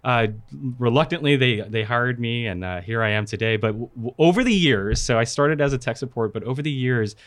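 A man born in the Philippines and raised in California, a guest speaking casually and warmly with frequent fillers. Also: mid-range voice, expressive, brisk, few pauses. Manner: friendly, talkative, frequent uh fillers. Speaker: guest, product manager. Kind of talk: casual podcast interview.

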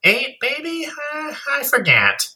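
An exasperated alien commander, played by a man, in an audio drama. His pitch lurches widely up and down as he grumbles, blunt and humorless, like a bureaucrat tired of his job. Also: wildly swooping pitch, takes it slowly, pushed and bright, dry recording, heavily acted. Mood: glum, befuddled. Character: weary, grumbling alien fleet commander eager to retire. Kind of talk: audio play acting.

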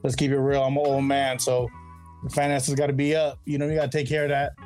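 A man's voice: medium pitch, monotone, fast talker, a little mellow, faint music behind. Laid-back rambling middle-aged man. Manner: laid-back, rambling, slangy with frequent "you know what I mean". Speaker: podcast guest. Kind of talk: casual interview chat.

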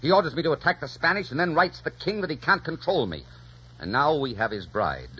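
An actor playing a ruthless, vengeful pirate captain in 1940s radio style, barking orders imperiously. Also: male voice, on the high side, sing-song, a little fast, pushed and bright, slightly rough, exaggerated voice. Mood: scornful, vengeful. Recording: dull, noisy 1940s radio recording.

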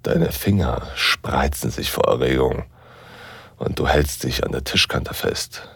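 Dominant seductive professor, super deep voice, slow n deliberate, bit breathy, intimate audio drama. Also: male voice, a bit bright, no room sound, full character voice. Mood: slow, aroused. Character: dominant male university professor, seductive, teasing, commanding lover. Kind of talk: intimate second-person erotic audio drama narration.

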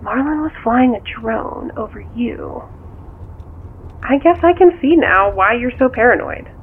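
A woman's voice: a little high, animated, unhurried, a little hiss or hum. A woman acting in an audio drama as a level-headed, inquisitive confidante, talking conversationally through a phone filter. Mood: apologetic. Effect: phone call filter. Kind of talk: naturalistic audio drama dialogue. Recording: tinny phone line, music bed.

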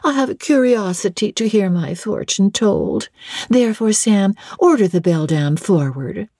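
Woman reader as young noblewoman, period storybook: high-pitched, haughty, wilful, clipped commands, dry close sound. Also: very animated, measured pace, mellow, dry recording. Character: haughty, wilful young aristocratic lady, imperious.

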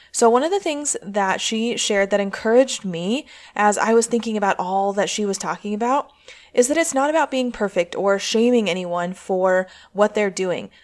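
A woman hosting a podcast as a coach, speaking in an earnest, encouraging way. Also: medium pitch. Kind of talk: podcast intro and interview.

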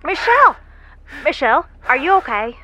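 A woman's voice: high-pitched, sing-song, moderate pace, sharp tone, dry recording, soft background music, heavily acted. A woman playing a stranded, injured space explorer in a sci-fi audio drama, with a wry, folksy way of muttering asides. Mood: urgent, relieved.

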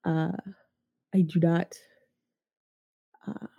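A woman's voice: on the low side, flat delivery, extremely slow, gravelly, breathy edge, phone-quality sound. A woman hosting a podcast in casual chat, nasal and congested from a sinus infection, self-mocking and laughing often. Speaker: podcast host.

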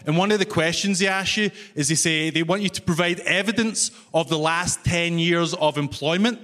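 A man's voice: on the high side, normal speed, fairly bright tone. A Scottish man from Glasgow with a Glaswegian accent doing a wry, self-mocking stand-up set in a big concert hall, checking in with the crowd. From Scotland. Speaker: stand-up comedian. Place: large concert hall stage, audience laughing. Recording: live hall reverb, faint room noise.

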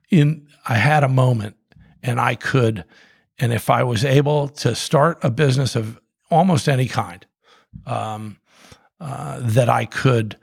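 A man's voice: mid-range voice, vocal fry, slightly rough, no room sound, studio quality. A middle-aged man telling his story in a casual, rambling way, full of restarts and fillers. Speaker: guest, coffee company co-founder.

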